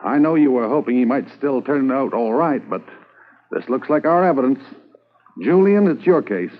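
An actor playing a measured Mountie superior officer in a 1950s radio drama. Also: male voice, mid-range voice, expressive, natural voice. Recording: tinny old radio transcription.

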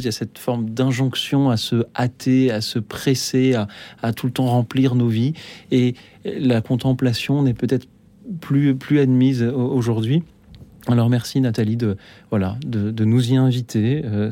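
Mellow-voiced radio host, measured. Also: male voice, mid-range voice, dry recording. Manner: measured, gently hesitant. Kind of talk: live radio talk show hosting. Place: radio studio.

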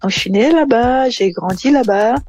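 A woman's voice: on the high side, moderate pace, darkish tone. A woman from Brazil, an engineering manager answering interview questions hesitantly with many fillers and restarts.

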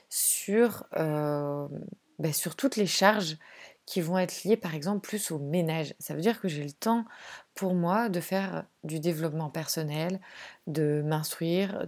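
A woman's voice: lowish voice, dark tone. A woman talking casually in an interview, thinking aloud with many hesitations and restarts. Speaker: zero-waste association founder.

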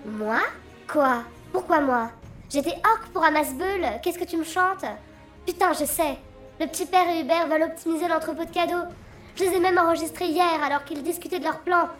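Outspoken young striker daughter played by a woman, high-pitched, slangy and indignant, comic audio fiction. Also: normal speed, a bit bright, faint music behind. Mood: indignant, conspiratorial.

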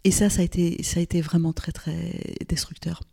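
A woman's voice: lowish voice, flat delivery, brisk, vocal fry, mellow, breathy edge. Thoughtful older woman from Lebanon. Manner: thoughtful, frequent restarts and hesitations. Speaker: guest, gynecologist. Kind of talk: reflective interview conversation.

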